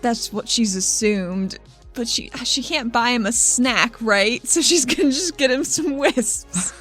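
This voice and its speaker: A woman's voice: fairly high pitch, unhurried, a bit bright, soft background music. Playful woman player in a tabletop game. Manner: playful, informal, sweary. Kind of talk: casual improvised game chat.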